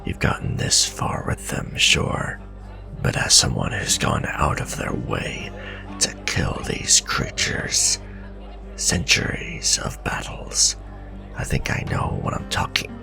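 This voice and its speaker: A man playing a gruff, centuries-old werewolf vampire hunter, bitter and distrustful, growling grim, breathy warnings in a dramatic fantasy ASMR roleplay. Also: sing-song, full character voice. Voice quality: very deep, gravelly, breathy growl. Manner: grim, scornful, slow and deliberate warnings. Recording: voice over clear background music. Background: music.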